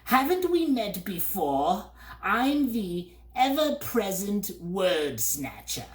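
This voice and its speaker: A woman voicing a dirty, haughty male bird who snatches words, with a shrill, drawn-out, cackling voice. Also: very animated, reverberant.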